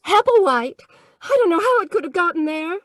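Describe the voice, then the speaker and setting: Very high, flustered damsel. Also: female voice, expressive, fairly quick, no echo, clean studio sound. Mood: flustered, confused. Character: flustered, frightened, scatterbrained young female client. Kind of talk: old-time radio detective comedy acting.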